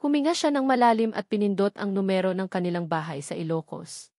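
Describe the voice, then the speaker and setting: A Filipino woman narrates a scripted romantic drama as a storyteller. Her voice sits at a middle pitch for a woman, recorded close and dry with no room sound. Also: fairly quick, a little mellow, dry recording.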